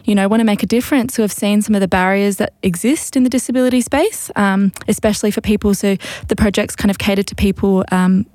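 A woman's voice: mid-range voice, fast talker, hardly any pauses, darkish tone, no echo. A woman explaining her community project in a casual interview, with frequent ums and fillers. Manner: hesitant, many ums and fillers. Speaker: project coordinator.

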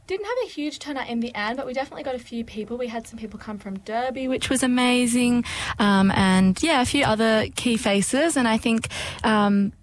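A woman's voice: a little high, a little fast. A woman talking upbeat and a little hesitantly, with many "um"s and "yeah"s, as a guest in a radio interview about a community project.

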